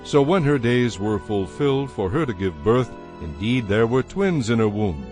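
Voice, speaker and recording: A man narrating dramatized scripture in a solemn, measured manner. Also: mid-range voice, big pitch swings, darkish tone. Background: music.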